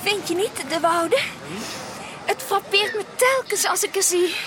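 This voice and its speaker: Meisjesachtige jonge dame, heel hoge stem. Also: female voice, very high-pitched, measured pace, noisy background. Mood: bright, insistent. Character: girlish, dreamy, insistent young society lady. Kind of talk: 1980s Dutch radio drama acting. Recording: loud music behind the voice.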